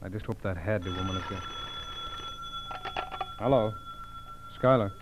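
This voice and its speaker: An actor playing a businesslike, level-headed FBI agent with a clipped, matter-of-fact manner in 1940s radio drama. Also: male voice, fairly low pitch, unhurried, close to an everyday voice. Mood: brisk, alert. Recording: dull, hissy vintage radio recording.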